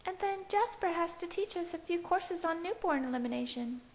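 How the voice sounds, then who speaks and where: Woman voicing a high-pitched, reassuring young female vampire. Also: expressive, moderate pace, a little mellow. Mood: upbeat. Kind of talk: audiobook character voice. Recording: hissy home recording.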